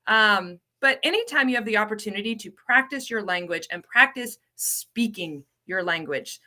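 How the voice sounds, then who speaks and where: Woman, from the United States, ESL coordinator, chatty, repeats words, bright tense voice. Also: mid-range voice. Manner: bubbly, repeats words for emphasis, greets viewers. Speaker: ESL program coordinator hosting a livestream. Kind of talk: casual livestream lesson.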